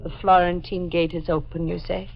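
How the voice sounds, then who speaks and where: A woman's voice: lowish voice, level tone, normal speed, vocal fry, slight background noise. An actress playing a haunted, fatalistic woman resigned to death, in 1940s radio style. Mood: grim, resigned. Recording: muffled old 1940s radio recording. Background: music.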